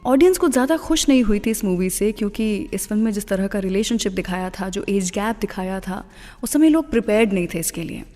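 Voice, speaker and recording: A woman's voice: medium pitch, lively intonation, quick pace, dark tone. An Indian woman presenting a radio show, telling stories in a conversational way and switching between Hindi and English phrases. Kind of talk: scripted radio presenting with film trivia between songs. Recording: long reverb, music underneath.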